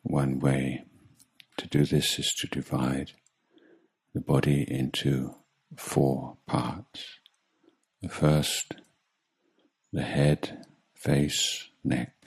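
A man leading a guided meditation as a teacher, speaking calmly in short, measured phrases with long pauses. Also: rumbling low, gravelly, slightly rough. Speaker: Buddhist monk meditation teacher.

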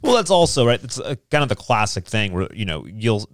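A man's voice: lowish voice, very animated, brisk, no echo, studio quality. A man with an American accent in casual conversation as a panelist on a talk show.

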